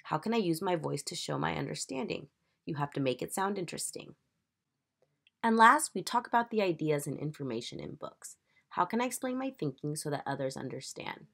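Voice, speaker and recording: A woman teacher reading a children's picture book aloud in an expressive, emphatic, instructive storytelling manner. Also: low-pitched, fairly quick, gravelly.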